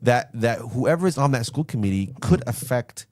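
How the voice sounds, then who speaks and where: A man's voice: mid-range voice, lively intonation, darkish tone, dry recording, studio quality. A man hosting a casual panel chat, talking bluntly and jumping in often. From United States. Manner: rapid, interjecting, blunt. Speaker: host.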